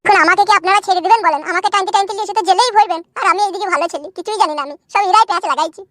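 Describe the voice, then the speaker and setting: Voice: very high voice, rapid-fire, fairly bright tone, no room sound. A performer voicing a dramatic, self-pitying young husband in a cartoon, pouring out long, florid complaints. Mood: aggrieved. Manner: long wailing complaints full of florid images. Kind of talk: cartoon dub acting.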